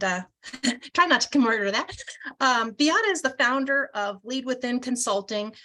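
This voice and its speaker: A woman's voice: a little high, pushed and bright. A woman hosting an online group, introducing her guest from a bio and stumbling over the words with many ums.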